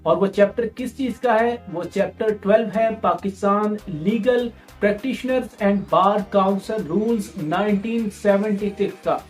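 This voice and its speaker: A woman's voice: mid-range voice, takes it slowly, few pauses, soft background music, rough recording. A woman with a Pakistani accent giving instructional exam-preparation talk as a tutor, explaining and repeating key points with English terms mixed in.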